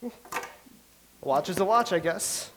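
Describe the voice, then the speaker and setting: An actor playing a chatty, boastful young playwright from old England in a staged play reading, rambling and reciting verse slowly. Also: male voice, sits high, lively intonation, a bit bright, slight background noise.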